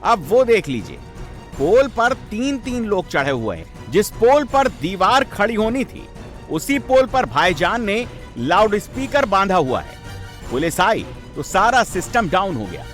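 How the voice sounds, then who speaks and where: A man's voice: sits high, sing-song, measured pace, a bit bright. A man presenting scripted TV news narration in an emphatic, sensational, dramatic way. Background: background music.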